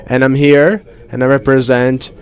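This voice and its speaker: A man interpreting conference speeches live, in measured phrases with pauses mid-sentence. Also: medium pitch, level tone, clear, resonant tone. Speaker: simultaneous interpreter.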